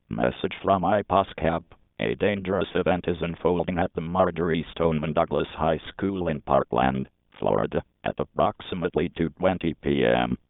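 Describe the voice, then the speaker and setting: A man's synthetic-sounding gravelly voice reading a scripted emergency alert in a stilted, mechanical way. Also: very deep voice, no room sound. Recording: narrow phone-like alert system audio.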